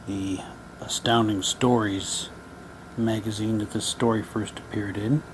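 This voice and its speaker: Male, low register, very unhurried; casual unboxing host with a wry, halting delivery. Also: fairly even intonation, background noise. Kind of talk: casual unboxing commentary, reading captions aloud.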